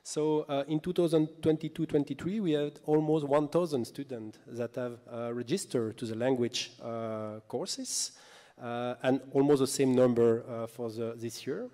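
A man in his thirties presenting on a conference panel in a halting, hesitant way, speaking into a table microphone. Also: medium pitch, fairly even intonation, mellow. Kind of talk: semi-scripted presentation. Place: indoor hall, panel table. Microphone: table microphone on stand. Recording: reverberant hall sound.

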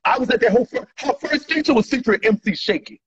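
A man's voice: very high-pitched, expressive, quick pace. A man, ranting angrily and profanely at his followers in a selfie video, recorded on a phone held at arm's length indoors. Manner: angry, profane, repetitive, gesturing wildly. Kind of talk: heated selfie-video rant. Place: indoor room. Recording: dull lo-fi phone audio.

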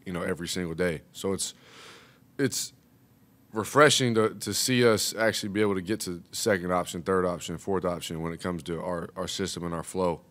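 Low-voiced young man at a press conference. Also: a little fast. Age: young adult. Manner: relaxed, many fillers like "you know". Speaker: college basketball player. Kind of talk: postgame press conference answers. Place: press room, seated at table. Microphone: table mic.

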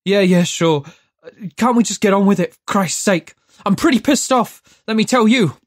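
A storyteller voicing a foul-mouthed, angry man who keeps shouting in exasperation. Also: mid-range voice, normal speed, dry recording, clean studio sound, lightly acted.

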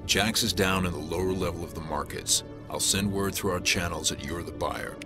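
An actor playing a gruff, pragmatic male alien detective in a video game cutscene, blunt and businesslike. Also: low voice, normal speed, over music, lightly acted. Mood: stern. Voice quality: gravelly. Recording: game audio with loud score.

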